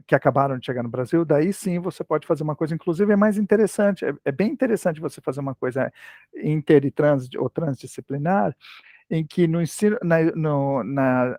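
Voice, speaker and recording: A man's voice: on the high side, vocal fry, darkish tone, no echo, clean studio sound. A middle-aged man explaining language teaching methods in a casual academic talk, hesitant and restarting often, on a video call.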